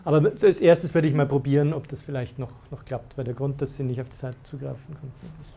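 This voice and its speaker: A man's voice: mid-range voice, fairly even intonation, fast, creaky, warm tone. A man, a university lecturer, explaining study rules to students in a lecture room, with many fillers and restarts. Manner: hesitant, many fillers and restarts, laughs at times.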